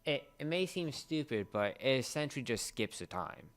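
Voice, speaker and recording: A man co-hosting a casual talk show, explaining things haltingly and searching for words. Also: mid-range voice, sing-song, fairly bright tone. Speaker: co-host of an entertainment talk show. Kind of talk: casual explanatory chat about anime.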